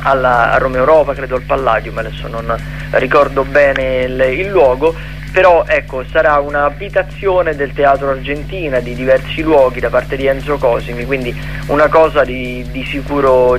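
A man's voice: medium pitch, steady tone, normal speed, faint music behind. A man co-hosting a radio show, giving casual event listings in a rambling, hesitant way. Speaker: radio co-host.

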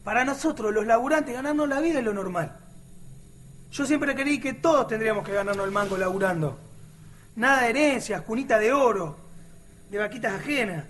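An earnest, outspoken working-class Argentine militiaman in a radio drama. He talks in slangy Rioplatense street speech, in a high-pitched voice over faint noise. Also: animated, brisk, a bit bright. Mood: earnest, hopeful. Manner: colloquial, slangy.

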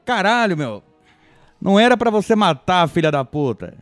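A man's voice: high voice, big pitch swings, moderate pace, faint music behind. A man playing a bossy, foul-mouthed talking dog, a crime-fighting sidekick who scolds in exasperation, in an audio drama. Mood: exasperated, scolding.

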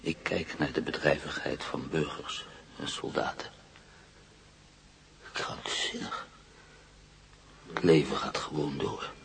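An actor playing a troubled male patient in a radio play, recalling frightening memories in halting, brooding fragments. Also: rumbling low, creaky, a bit bright, in a whisper, heavily acted. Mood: brooding, haunted. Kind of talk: Dutch-language radio play acting.

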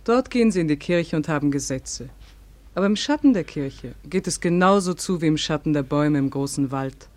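An actress playing a young, disillusioned daughter in a German radio drama, detached and cynical in manner. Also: female voice, low voice, expressive, fairly quick, darkish tone. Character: young daughter, disillusioned, coolly wise, lonely.

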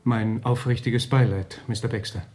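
A man's voice: medium pitch, fairly even intonation, a little mellow, faint music behind, lightly acted. Actor as a formal, sober male lawyer. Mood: courteous, formal. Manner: polite, measured, matter-of-fact. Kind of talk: radio drama acting.

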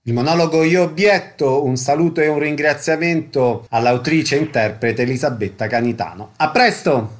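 A man hosts a radio programme. His voice sits a little higher than most men's as he presents and asks questions. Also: normal speed, few pauses. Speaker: radio host.

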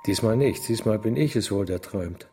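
An actor playing a paranoid, frail old mathematics professor in a radio drama, brooding, formal and insistent. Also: male voice, on the low side, animated, a little fast, mellow, faint music behind. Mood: weary, bitter. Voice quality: gravelly, husky.

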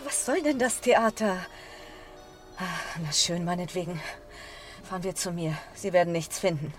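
A woman's voice: on the low side, normal speed, faint music behind, close to an everyday voice. An actress playing an uneasy, defiant woman entangled in a robbery plot in a German radio crime drama, defensive and wavering. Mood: defensive, annoyed.

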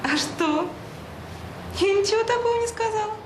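An actress in an audio drama playing an indignant, scornful childcare worker who argues with callous sarcasm. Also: female voice, extremely high pitch, moderate pace. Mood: defiant. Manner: argumentative, sarcastic. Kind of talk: Soviet radio drama acting. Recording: roomy radio-play recording with faint noise.

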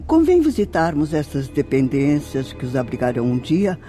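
Mellow, animated Brazilian spirit sister. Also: female voice, low voice, moderate pace, faint music behind. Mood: composed, instructive. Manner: formal, didactic. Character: composed, instructive spirit sister guiding newcomers. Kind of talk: radio drama acting.

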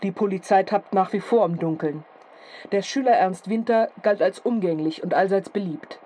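An actress in a radio play as a sensation-hungry news reporter, announcing in a formal, measured, dramatic manner. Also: female voice, medium pitch, dark tone, close to an everyday voice. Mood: formal, grave.

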